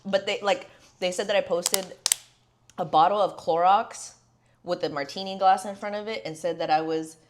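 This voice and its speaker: A woman's voice: on the low side, steady tone, moderate pace, background noise. A young woman hosting a podcast, chatting candidly, swearing freely and filling her talk with "like". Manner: candid, swears freely, heavy filler words. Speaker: podcast host. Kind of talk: casual podcast chat.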